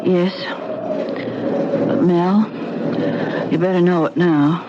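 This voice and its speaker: An actress playing a frightened wife in an old radio drama, anxious and pleading. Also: female voice, fairly low pitch, steady tone, slow. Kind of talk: 1970s radio drama acting. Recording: dull, faintly hissy old radio broadcast.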